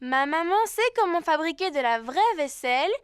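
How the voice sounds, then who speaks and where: A woman's voice: high voice, moderate pace, dry recording, studio quality, close to an everyday voice. A woman voicing a sweet little animal child. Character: small playful young animal child. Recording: cartoon soundtrack with music.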